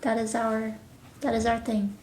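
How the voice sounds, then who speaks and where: A woman's voice: a little high, level tone, a little slow, a little mellow, a little hiss or hum. A woman chatting casually as a guest in an interview about creative production work. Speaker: audio drama writer and producer, interview guest. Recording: echoey, roomy sound.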